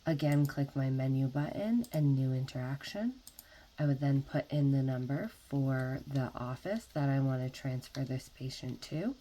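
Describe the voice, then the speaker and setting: A woman narrating an instructional software tutorial as a trainer, explaining methodically one step at a time. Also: rumbling low, normal speed, poor audio.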